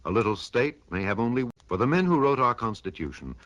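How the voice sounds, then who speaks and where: A man's voice: medium pitch, sing-song, a little fast, fairly bright tone. A man announcing a scripted 1950s radio public service message, instructive and given to rhetorical questions.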